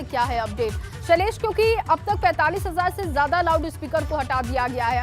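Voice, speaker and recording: A woman presents television news as an anchor. Her voice is high, and music plays clearly behind her.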